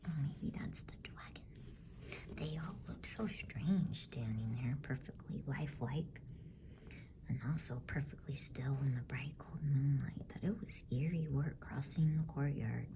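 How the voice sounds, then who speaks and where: A woman reading a bedtime story as ASMR in a hushed, unhurried whisper. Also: rumbling low.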